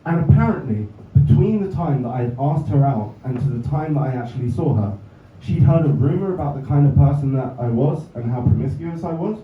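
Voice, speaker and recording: A man's voice: medium pitch, animated, brisk, hardly any pauses, a little hiss or hum. A young English man doing a stand-up set with dry, self-mocking asides, recorded from a distance in a comedy club. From England. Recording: roomy, distant, slightly dull club recording. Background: audience laughter.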